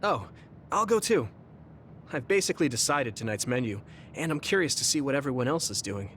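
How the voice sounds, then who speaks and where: An actor playing a kind, level-headed young man in an anime game, gentle and considerate. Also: mid-range voice, animated, a little fast, slight background noise, lightly acted. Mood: kind, calm.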